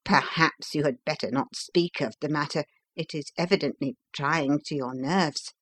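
A woman's voice: deep voice, normal speed. A woman voicing a courteous male doctor in a storybook, concerned, firm and professional. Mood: gentle.

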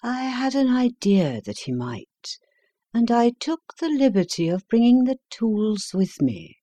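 A woman reading the part of a calm, brilliant detective, measured, courteous and composed. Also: fairly high pitch, dry recording, voice pushed up. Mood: wry. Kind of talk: audiobook character reading.

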